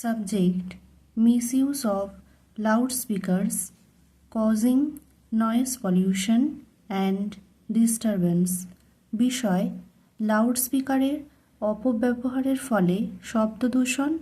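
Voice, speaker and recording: A woman tutor reading a model letter aloud as a lesson, in deliberate word-by-word, dictation-style phrasing. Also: on the high side, mellow, breathy edge, low-quality recording. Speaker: English tutor.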